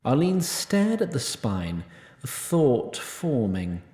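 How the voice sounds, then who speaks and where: A roomy-sounding actor as a male storyteller narrates a fantasy audio drama. He is formal, precise and dryly pedantic, with pitch that swings widely for emphasis. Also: big pitch swings, slow, warm tone. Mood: wry, formal. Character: wry, pedantic, faintly exasperated storyteller of a fantasy tale.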